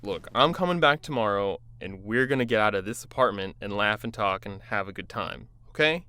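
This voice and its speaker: An exasperated young friend. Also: male voice, expressive, a little fast, pushed and bright, clear, resonant tone, no echo. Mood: frustrated. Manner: frustrated, bursts up in pitch. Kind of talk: audio drama dialogue.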